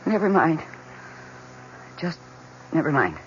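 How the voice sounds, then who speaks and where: An actress playing a lonely, timid, pleading housewife in an old-time radio drama, speaking plaintively and with emotion. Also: female voice, low-pitched, very animated, slow, full character voice. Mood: pleading, tearful. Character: lonely, timid, pleading housewife who talks to her plants. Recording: dull, noisy old radio recording.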